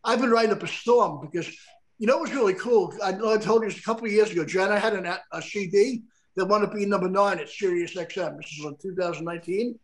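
A man's voice: sits high, fast, poor audio. A man hosting a podcast in casual chat over a video call, rambling with lots of stumbling restarts. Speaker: podcast host.

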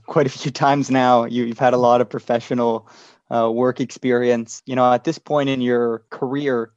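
A man's voice: medium pitch, darkish tone, no echo. A man hosting an interview, thanking the guest and asking conversational questions. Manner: appreciative, conversational. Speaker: interview host. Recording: dry, dull audio.

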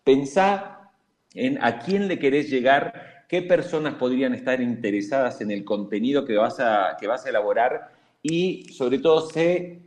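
A man's voice: mid-range voice, a little slow, a little mellow. Argentine man, radio veteran giving advice, emphatic and coaching. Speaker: radio and podcast guest. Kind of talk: advice in a panel talk.

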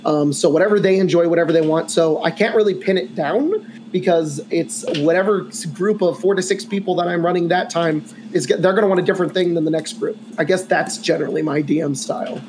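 Californian panelist, casual, meandering delivery, noisy line. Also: male voice, on the high side, fairly quick. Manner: rambling, filler-heavy, uneven pace.

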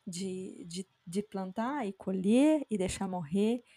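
A woman hosting a casual interview, talking slowly and reflectively and asking open questions. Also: mid-range voice, lively intonation, warm tone, husky. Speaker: podcast host.

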